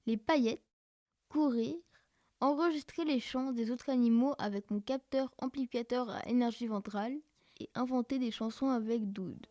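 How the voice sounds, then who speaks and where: A woman's voice: fairly high pitch, normal speed, dark tone, no room sound, clean studio sound, close to an everyday voice. A woman playing a playful, chatty eleven-year-old child in a podcast drama, hesitating and thinking aloud. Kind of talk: podcast fiction monologue.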